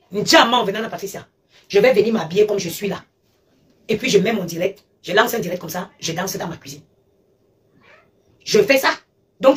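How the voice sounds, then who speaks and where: A woman with a fairly high, husky voice lectures her viewers on a live stream. She talks emphatically and hammers each point by repeating it. It sounds like a phone recording. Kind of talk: animated live-stream rant.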